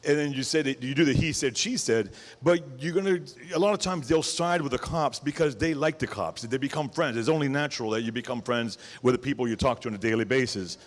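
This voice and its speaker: A man's voice: mid-range voice, a little fast, few pauses. A man speaking informally and colloquially as a journalist on a panel. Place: event hall panel. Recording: reverberant hall.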